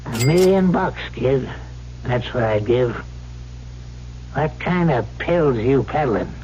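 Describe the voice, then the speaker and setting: Gruff, weary elderly rich man, drawling slowly with swinging pitch, 1970s radio drama. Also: lively intonation, a little mellow. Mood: weary, skeptical. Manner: curt, drawn-out, skeptical. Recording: dull lo-fi radio broadcast, faint hum.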